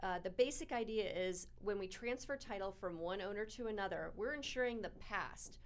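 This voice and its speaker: A middle-aged woman, a title company representative, giving clear and upbeat answers in an interview. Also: mid-range voice, normal speed, slight background noise. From born in South Africa, raised in Toronto and Arizona.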